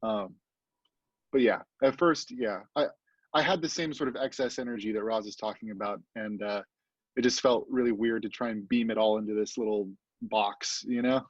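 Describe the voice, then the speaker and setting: A man's voice: fairly low pitch, fairly even intonation, normal speed, no echo. A man reflecting casually and a little hesitantly as a theatre panelist on a video call. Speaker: theatre actor and deviser, panelist. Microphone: video call, close dry sound. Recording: slightly dull, dry video-call audio.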